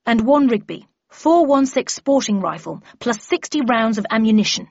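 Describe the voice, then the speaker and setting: A woman voicing a terse, traditionalist gentleman adventurer with clipped, formal speech in an audiobook. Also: a little high, animated, moderate pace, vocal fry. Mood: self-assured. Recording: muffled audio, roomy echo.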